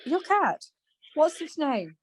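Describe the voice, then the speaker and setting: A woman playing a brisk, plain-spoken, chatty wife in a radio play, chiding and questioning. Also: high-pitched, big pitch swings, slight background noise, exaggerated voice.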